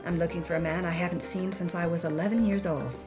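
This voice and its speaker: A woman's voice: on the low side, fairly even intonation, quick pace, music in the background, lightly acted. An actress playing a defiant, worldly woman on the run, telling her story in a vivid, hard-boiled first-person style. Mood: tense, wry. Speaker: actress narrating as a character. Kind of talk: dramatic first-person scripted narration.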